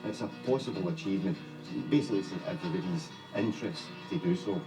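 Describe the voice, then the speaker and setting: A man's voice: deep voice, fast talker. A man speaking earnestly in a documentary video. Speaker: project member in a video. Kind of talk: documentary interview. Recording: distant video clip with music.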